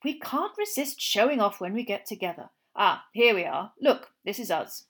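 A woman's voice: mid-range voice, sing-song, moderate pace, fairly bright tone. A woman doing a chirpy flustered wizard dad. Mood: cheerful. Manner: eager, nervous, fumbling with words. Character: cheerful, flustered middle-aged wizard father.

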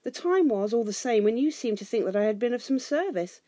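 Woman as little male hobbit, timid, fussy, politely protesting, raised squeaky pitch, storybook reading. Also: animated, brisk, mellow, dry recording, studio quality. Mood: flustered, polite. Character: small, timid, polite but indignant male hobbit burglar.

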